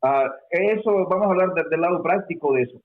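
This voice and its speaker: Man from peru, guest, high-ish voice, tinny phone sound, lots of ums and restarts. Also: brisk. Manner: hesitant, many fillers and restarts. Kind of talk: conversational explanation.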